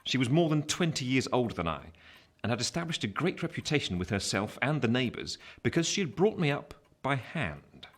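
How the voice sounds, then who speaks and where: A man's voice: medium pitch, sing-song, fairly quick. A man playing a reflective adult narrator recounting his childhood, in dramatic literary style with an English accent. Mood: wry, storytelling. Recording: faint background noise.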